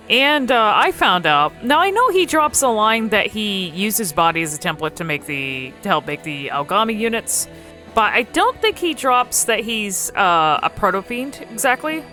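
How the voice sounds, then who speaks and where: A woman giving casual game commentary as a video creator, thinking aloud in a halting, musing way. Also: fairly low pitch, bright, forceful tone.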